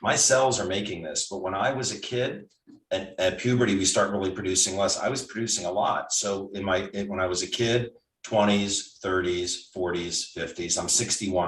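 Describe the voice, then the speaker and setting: Low-voiced man in his sixties, roomy sound. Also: a bit bright. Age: 60s. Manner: conversational, explains step by step with frequent restarts. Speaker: physician guest. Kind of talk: casual explanatory chat. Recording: roomy and distant from mic.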